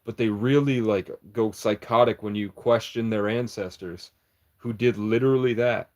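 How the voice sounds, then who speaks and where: Man, lowish voice, livestreamer riffing at chat, conspiratorial and meandering, cuts himself off a lot. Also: steady tone, moderate pace.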